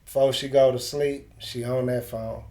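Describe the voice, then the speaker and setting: A middle-aged man with a dark, relaxed voice at an ordinary pitch. He talks like an interviewer chatting with an old acquaintance, informal and full of slang and swearing. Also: moderate pace. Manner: informal, slang-heavy, profane. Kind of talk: casual street interview chat.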